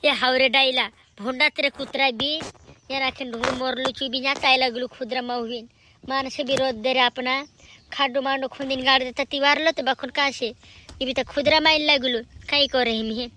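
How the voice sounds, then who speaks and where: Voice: high-pitched, little pitch movement, moderate pace, bright, forceful tone, slight background noise. A performer voicing a chattering, scolding village family member in an amateur cartoon comedy. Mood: grumbling chatter.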